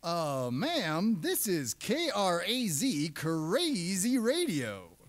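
A woman's voice: fairly low pitch, huge pitch swings, extremely slow, exaggerated voice. A woman playing an upbeat, patient male radio DJ puppet, with showy, polite comic patter.

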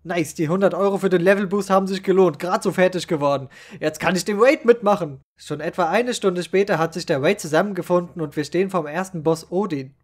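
A man narrates a comic first-person story about online gaming. His voice sits high for a man, and his wording is colloquial and full of slang. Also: a little fast. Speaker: storyteller and channel creator.

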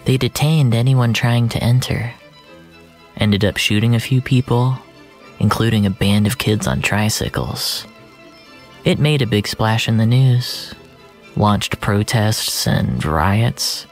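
A narrator reading scripted first-person fiction in a measured, literary storytelling manner. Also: medium pitch, expressive. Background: music.

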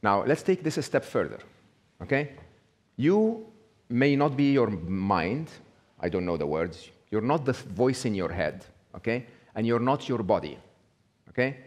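A man's voice: mid-range voice, very animated, unhurried, darkish tone. A middle-aged man giving a live talk, conversational, checking in with the audience with a frequent "okay?". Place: small indoor venue with brick wall.

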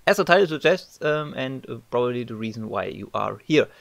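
A man's voice: mid-range voice, animated, fairly quick, vocal fry, fairly bright tone, no room sound. A man with a German accent, a non-native English speaker, talking through a screen-recorded modding tutorial with many ums and uhs.

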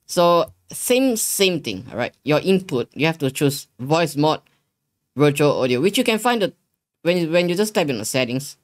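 A young adult man hosting a casual software tutorial, explaining in halting, repetitive phrases with non-native English wording. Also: on the high side, very animated. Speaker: tutorial host. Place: living room gaming setup at home.

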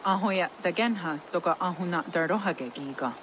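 An actress playing a calm village woman in a video game cutscene. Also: lowish voice, a little fast, background noise, close to an everyday voice.

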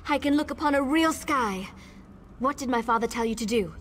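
A woman's voice: high-pitched, fairly quick, lightly acted. A woman playing an earnest, impatient young elf in a fantasy game, speaking expressively. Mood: elated. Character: eager, earnest young female elf rebel. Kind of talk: fantasy video game voice acting. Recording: faint background noise.

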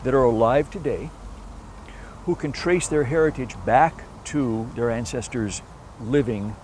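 A man's voice: mid-range voice, a little slow, a little mellow, slight background noise. A man in his sixties hosting an outdoor interview, prompting his guest and echoing him with short affirmations. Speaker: interview host. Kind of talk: conversational interview. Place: outdoors on palm-lined grounds by a church.

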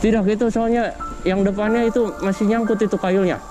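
A man's voice: high voice, steady tone, a little fast. A man hosting a fishing vlog on a river boat, talking urgently and repeating short instructions. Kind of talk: excited, urgent talk while landing a fish. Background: music.

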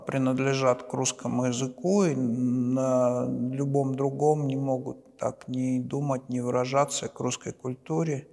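Gravelly-voiced male interviewer. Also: medium pitch. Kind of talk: interview questions. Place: bright room seated at table. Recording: roomy reverberant room.

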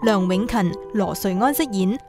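A woman's voice: medium pitch, normal speed. A woman announcer, reading scripted radio drama credits. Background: clear background music.

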